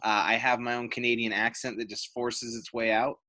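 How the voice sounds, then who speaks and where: A man hosting a casual sports podcast, self-mocking and awkward, talking fast in bursts. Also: mid-range voice, little pitch movement, bright, forceful tone, no room sound. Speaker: podcast host. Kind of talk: casual chat.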